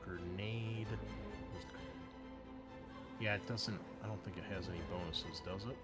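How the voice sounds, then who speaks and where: Guy GMing a tabletop rpg, thinks out loud, kinda halting, music going pretty loud behind him. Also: sits low, steady tone. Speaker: game master. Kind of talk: running a tabletop roleplaying game, explaining rules.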